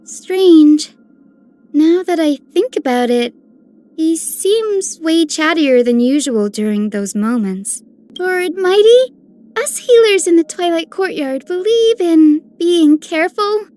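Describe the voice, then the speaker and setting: A woman playing a gentle, caring young healer in a video game, sweet and polite. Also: very high-pitched, animated, slow pace, exaggerated voice.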